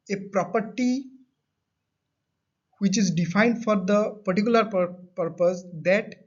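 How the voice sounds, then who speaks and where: Male lecturer, high voice, repeats and rephrases points, muffled lo-fi recording. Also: a little mellow. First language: not Hindi. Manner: explains with everyday examples. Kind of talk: classroom lecture. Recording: dull lo-fi audio.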